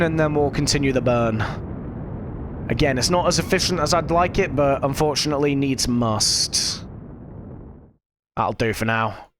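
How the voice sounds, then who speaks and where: A man's voice: medium pitch, fairly bright tone, no room sound. A British man streaming a game, chatting casually and self-deprecatingly with his viewers while fighting off a cough. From United Kingdom. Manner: rambling, self-deprecating, talks to chat. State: lingering cough. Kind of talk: casual gameplay commentary.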